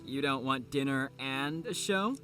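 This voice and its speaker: A man's voice: medium pitch, measured pace, sharp tone, faint music behind. A man playing a mischievous, cocky young student with a teasing, drawling delivery in an audio drama.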